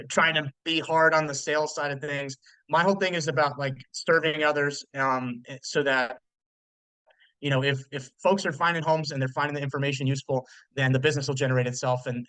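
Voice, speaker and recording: A man's voice: mid-range voice, pushed and bright, no echo, low-quality recording. A man in his thirties, a mortgage loan officer, hosting a live webinar in a loose, chatty way with lots of fillers and restarts. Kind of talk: casual live webinar presentation.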